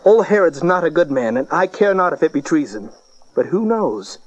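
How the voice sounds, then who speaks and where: A man's voice: fairly high pitch, very animated, moderate pace, muffled recording, slight background noise. An actor playing a wary, blunt townsman in an audio Bible drama, speaking urgently and nervously. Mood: urgent warning.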